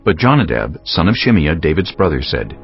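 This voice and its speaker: Super deep gravelly guy narrating scripture solemnly, soft music under, slightly dull sound. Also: very animated, fairly quick. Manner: solemn storytelling.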